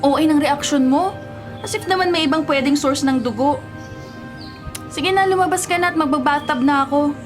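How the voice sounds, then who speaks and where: A woman's voice: high-pitched, normal speed, long pauses, music in the background, lightly acted. An actress playing an irritable, sleepy young woman race driver in a Filipino radio drama, snappy and sulky, with a Filipino accent. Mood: irritated.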